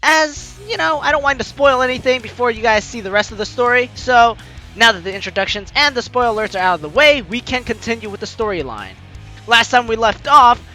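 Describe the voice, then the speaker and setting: A man's voice: very high-pitched, pushed and bright. A young man hosting a game playthrough, reading the story and chatting between lines. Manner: chatty, reads lines with asides. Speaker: gameplay host reading the story aloud. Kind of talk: let's play narration and commentary.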